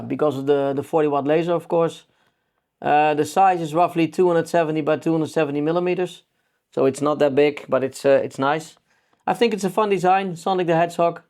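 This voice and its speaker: Higher-voiced Dutch-accented man. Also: darkish tone. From Netherlands. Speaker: DIY laser-cutting video maker. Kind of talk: casual tutorial narration.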